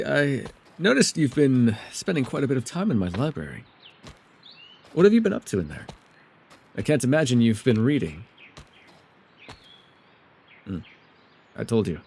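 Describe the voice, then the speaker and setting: A man playing a mysterious, soft-spoken elf with a gently teasing, secretive way, in an immersive ASMR roleplay with hesitant, tender asides. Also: medium pitch, very animated, measured pace, vocal fry, pauses often, breathy edge, heavily acted. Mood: playful teasing.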